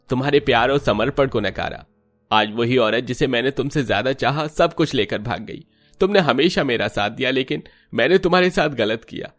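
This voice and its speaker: Remorseful husband, done by a man, cartoon melodrama, contrite, pleading, medium pitch, music behind. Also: lightly acted. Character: remorseful, ashamed husband begging forgiveness.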